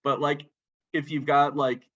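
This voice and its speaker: A man co-hosting a casual movie podcast, talking in an animated way and peppering his speech with "like". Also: fairly high pitch, a little slow, breathy edge, highs cut off. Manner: animated, filler-heavy talk with lots of "like". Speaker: podcast co-host.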